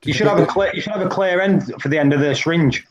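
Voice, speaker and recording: A man's voice: fairly high pitch, quick pace, dull audio, crowd noise. A man in a casual group chat, coaching others step by step through a task. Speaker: chat participant.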